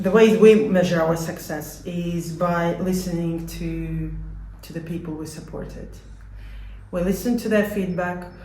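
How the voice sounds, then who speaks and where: A woman with a Latvian accent giving measured, earnest explanations as an interviewee about her work. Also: fairly low pitch, dark tone. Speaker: community support organisation worker being interviewed. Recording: echoey room.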